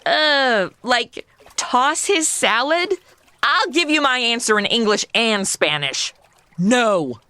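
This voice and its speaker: A woman's voice: on the high side, expressive, takes it slowly, sharp tone, dry recording, full character voice. An actress playing a sassy, outspoken modern woman, sarcastic and indignant, in a comedy audio drama. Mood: flippant.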